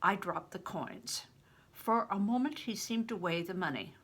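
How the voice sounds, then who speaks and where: An older woman with a breathy voice, reading a novel aloud and giving the characters voices of their own. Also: medium pitch, animated, a little slow. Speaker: reader.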